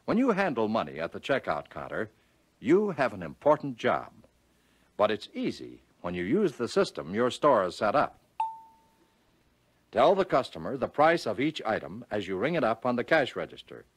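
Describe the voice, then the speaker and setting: An American man reading scripted narration for a 1940s instructional film, giving key phrases measured, instructive emphasis. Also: mid-range voice, huge pitch swings, husky, no echo.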